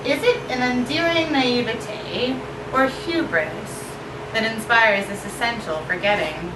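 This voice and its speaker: A woman poet giving an expressive live poetry reading at an evening event. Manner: expressive, shifting pitch and pace for effect. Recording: roomy echo from far off with noise.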